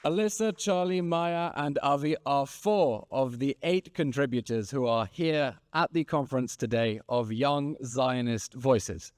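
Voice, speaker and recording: A man in his thirties addresses a conference audience from the stage. He speaks as a moderator in rousing, repetitive rhetorical phrases. His voice sits in a middle pitch and the sound is dry and close. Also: a little slow, few pauses, a little mellow, smooth, no echo. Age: 30s. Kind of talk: stage speech and panel moderation.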